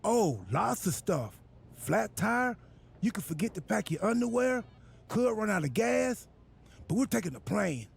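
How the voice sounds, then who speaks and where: An actor playing a goofy, self-important wrestler turned boss in a video game cutscene, with a gravelly, husky voice and an earnest, absurdly literal, rambling manner. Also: male voice, high voice, sing-song, a little slow, exaggerated voice. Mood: breezy, rambling. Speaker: wrestler acting as general manager.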